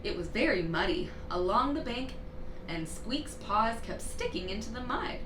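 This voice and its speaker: A woman reading a children's storybook aloud, narrating expressively with deliberate, dramatic emphasis. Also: a little high, big pitch swings. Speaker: storybook reader. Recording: roomy, distant mic, clear background noise.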